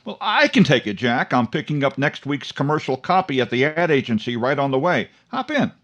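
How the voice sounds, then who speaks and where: A man's voice: mid-range voice, big pitch swings, fairly quick, a bit bright, lo-fi sound. A man playing a genial, obliging announcer sidekick in 1940s-style radio comedy. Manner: polite, obliging straight man.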